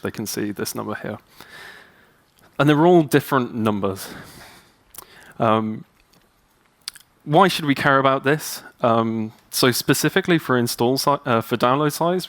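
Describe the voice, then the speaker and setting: Male speaker, upbeat conference talk on a hall stage, handheld mic, mid pitch. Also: a bit bright. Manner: upbeat, chatty, many ums.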